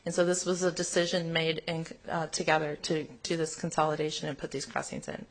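Boomy-voiced woman presenting in an echoey chamber. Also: little pitch movement, brisk. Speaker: traffic safety engineer presenting. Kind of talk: public meeting presentation.

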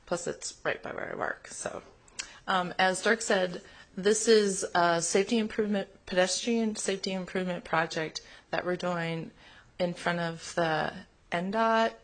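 A woman with a boomy voice, a traffic safety engineer presenting a project at a public meeting in an echoey chamber, upbeat and chatty. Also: unhurried.

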